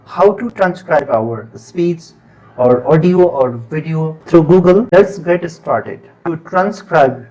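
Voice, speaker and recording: A man with a Nepali accent, a native Nepali speaker, talking through a software tutorial in plain, unhurried step-by-step instructions. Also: on the high side, lively intonation, poor audio. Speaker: tutorial presenter. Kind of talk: screen-recorded software tutorial.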